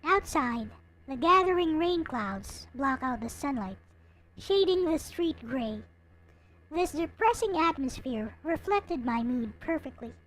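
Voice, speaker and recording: A woman reading a visual novel aloud in an expressive, theatrical way, with some casual commentary. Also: high-pitched, unhurried, slight background noise, poor audio. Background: background music.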